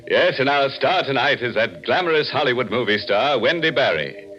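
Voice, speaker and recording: A man's voice: mid-range voice, sing-song, measured pace, fairly bright tone. A man hosting a 1940s radio mystery show in a theatrical, ghoulishly playful style. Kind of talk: 1940s radio host introduction, macabre joking. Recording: muffled vintage radio recording, faint music.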